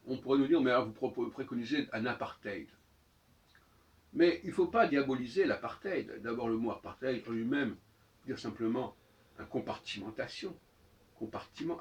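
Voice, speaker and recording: Distant-miked man giving a halting, didactic talk. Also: medium pitch, lo-fi sound. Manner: halting and didactic, rephrasing and repeating key words. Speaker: speaker giving an opinion talk. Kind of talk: extemporaneous expository monologue. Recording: voice distant from the microphone.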